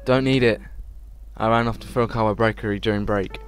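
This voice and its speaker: Man, lowish pitch, reading visual novel text aloud, casual asides, clear background music. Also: fairly even intonation, a little fast. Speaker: let's play host. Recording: game music under the voice.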